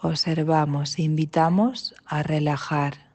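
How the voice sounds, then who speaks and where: A woman with an accent from Spain leading a guided meditation, calm and gentle, with long pauses between short instructions. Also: deep voice. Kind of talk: guided mindfulness body scan meditation.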